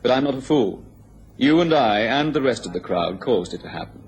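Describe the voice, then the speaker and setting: A male actor voices a mocking upper-class businessman in a radio drama. His middle-pitched voice rises and dips animatedly as he goads, every phrase sardonic. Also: lively intonation, brisk, a little hiss or hum, close to an everyday voice. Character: sneering, taunting upper-class English businessman, a bitter rival.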